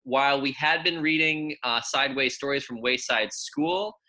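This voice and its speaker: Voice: mid-range voice, sing-song, moderate pace, pushed and bright. A teacher giving a read-aloud lesson for young children in a friendly, informal, encouraging way. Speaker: elementary school teacher.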